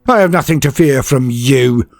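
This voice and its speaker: A grim young soldier, as a male narrator voices him, clipped and defiant. His voice is low and a little husky, and the read sounds dry and close. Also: sing-song, unhurried, no echo, exaggerated voice.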